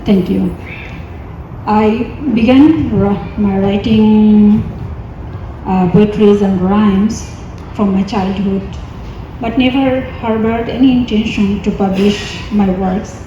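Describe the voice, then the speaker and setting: A woman's voice: mid-range voice, fairly even intonation, slow pace, dark tone, a little hiss or hum. A woman from Bangladesh, a poet answering questions on a panel, talking hesitantly with many fillers. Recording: echoey hall, dull lo-fi sound.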